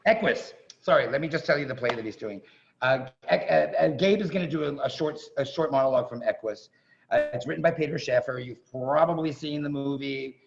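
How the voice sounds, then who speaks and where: Gravelly-voiced man hosting a theatre evening. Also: medium pitch, a little fast. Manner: effusive, chatty. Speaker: host of a staged reading event. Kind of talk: informal stage introductions. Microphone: video call. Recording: dull, muffled video-call audio.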